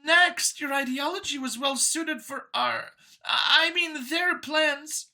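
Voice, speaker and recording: A woman's voice: sits high, takes it slowly, bright, forceful tone, pitched above the performer's own voice, exaggerated voice. A woman voicing a nervous, boastful female alien scientist from an audio story, whiny and gushing as she justifies her elitist views. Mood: nervous, whining. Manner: gushing, over-eager justifying.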